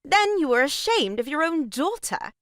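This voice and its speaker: A woman's voice: high voice, very animated, normal speed, fairly bright tone, no echo, studio quality. An actress playing a prim, moralising married lady, reproving and emphatic, in a period farce audio drama. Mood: righteous reproach.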